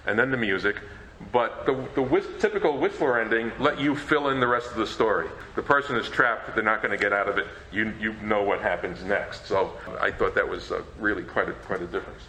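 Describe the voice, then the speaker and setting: Bright-voiced male co-presenter, echoey room. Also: mid-range voice. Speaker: co-presenter, radio program collector. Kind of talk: informal talk, explanatory commentary. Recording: echoey room, faint background noise.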